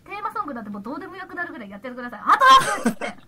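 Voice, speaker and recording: A woman casually directing a voice drama rehearsal in an animated, encouraging and playful way over an online call. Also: very high-pitched, rapid-fire, a little hiss or hum.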